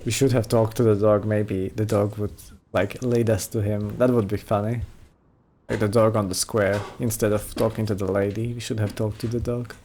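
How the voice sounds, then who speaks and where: A man's voice: lowish voice, flat delivery, normal speed, mellow. A man giving casual, laid-back let's play commentary with offhand asides over slight noise. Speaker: gaming streamer.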